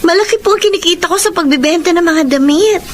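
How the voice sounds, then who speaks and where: A dutiful young woman in a radio drama. Her voice is high, earnest and respectful, full of selfless feeling, over clear background noise. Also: fast talker. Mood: earnest, gently firm. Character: dutiful, selfless young working granddaughter and daughter. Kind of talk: emotional radio drama acting.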